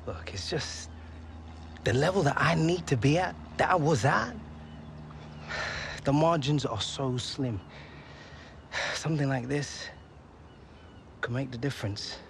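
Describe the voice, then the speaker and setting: An actor playing an earnest, self-doubting young professional footballer in a video game drama, speaking hesitantly. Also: male voice, medium pitch, expressive, slow, vocal fry, pauses often, fairly bright tone, full character voice. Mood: hesitant, worried. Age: young adult. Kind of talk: naturalistic game cutscene dialogue. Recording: faint ambient noise behind.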